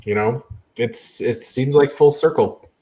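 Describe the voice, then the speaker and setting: Male podcast panelist, medium pitch, casual and tentative, thinking aloud. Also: big pitch swings, measured pace, vocal fry, dark tone. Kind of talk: casual sports chat.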